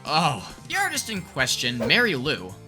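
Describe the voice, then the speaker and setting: A woman's voice: deep voice, huge pitch swings, normal speed, loud music behind the voice. A woman hosting a scripted comedic video essay in a theatrical, sarcastic, mock-grandiose manner.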